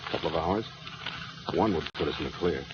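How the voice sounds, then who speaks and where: An actor playing a tough, hard-bitten, weary gang leader in a radio crime drama, terse and blunt. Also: male voice, a bass voice, quick pace. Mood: grim, resigned. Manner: terse, slangy, blunt commands. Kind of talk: old-time radio crime drama acting. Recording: dull, noisy old radio recording.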